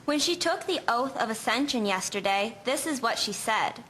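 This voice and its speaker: Casting: woman as dreamy, admiring schoolgirl, high bright voice, period audio play, light rumble behind. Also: animated, moderate pace. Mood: admiring, eager. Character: starry-eyed 1950s schoolgirl who adores royalty. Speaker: actor in an amateur history drama. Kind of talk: scripted amateur audio drama.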